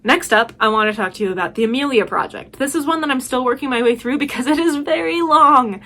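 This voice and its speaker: A woman's voice: high voice, fast talker, a bit bright. A woman talking casually as a video host, giving chatty, emphatic and enthusiastic recommendations.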